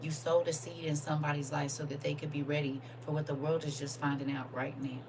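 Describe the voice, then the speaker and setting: A woman's voice: low voice, level tone, a little fast, background noise. A woman in her forties hosting a livestream, chatting casually with viewers, reading their comments aloud and teasing them playfully with frequent laughter. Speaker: livestream host.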